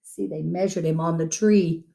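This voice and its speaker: A woman reading a picture book aloud to children in an expressive, gentle, deliberate storytelling manner, with asides about the pictures. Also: low-pitched, gravelly. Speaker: children's story-time reader.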